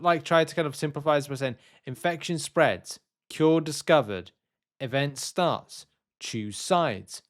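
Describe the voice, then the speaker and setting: Male gaming video host, mid-range pitch. Casual commentary, unscripted and rambling, hesitant as he reasons things out aloud. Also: clean tone. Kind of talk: casual game news commentary, reading announcements and musing.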